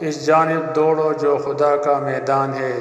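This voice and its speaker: A man giving a scripted narration of inspirational spiritual quotes in a solemn, deliberate way with measured pauses. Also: on the high side, flat delivery. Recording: echoey, long reverb.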